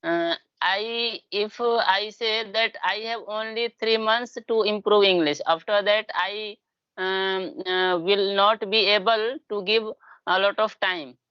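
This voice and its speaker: A woman's voice: mid-range voice, steady tone, slow pace, clear, resonant tone, dry recording, dull audio. A woman learning English, speaking hesitantly on a video call, full of uhs and restarts. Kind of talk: casual conversation.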